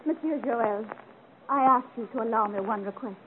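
A woman's voice: fairly high pitch, moderate pace, close to an everyday voice. An actress playing a spirited young noblewoman with earnest, refined, theatrical delivery in a 1950 radio serial. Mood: earnest pleading. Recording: tinny old 1950 radio recording, hiss.

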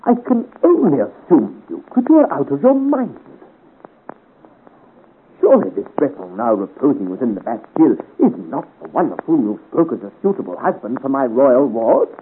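A man's voice: mid-range voice, huge pitch swings, normal speed. An actor playing a proud, imperious French king in a 1950s radio serial, with formal, theatrical delivery. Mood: incredulous, stern. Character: proud, imperious 17th-century French king, easily scandalised. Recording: scratchy, tinny 1950 radio serial recording.

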